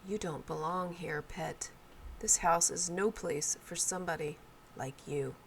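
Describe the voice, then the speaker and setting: A woman voicing a sinister elderly neighbor in a storybook tale, giving a quiet, calm warning in a creaky voice. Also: lowish voice, measured pace, a little hiss or hum. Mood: calm menace. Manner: quiet warning, without bitterness. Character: sinister elderly woman neighbor, harmless-looking, calmly menacing.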